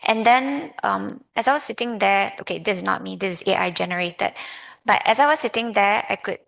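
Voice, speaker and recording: A woman in her thirties with a Malaysian accent, giving a personal talk to an audience in a reflective, halting, conversational way. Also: mid-range voice, gravelly, a bit bright. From Malaysia, grew up in Kuala Lumpur. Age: 30s. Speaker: lay Buddhist speaker.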